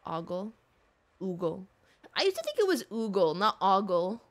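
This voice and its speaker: A woman's voice: mid-range voice, very animated, normal speed. A woman streamer giving casual, slangy and emotive live commentary on a game. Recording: game music behind voice. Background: game music.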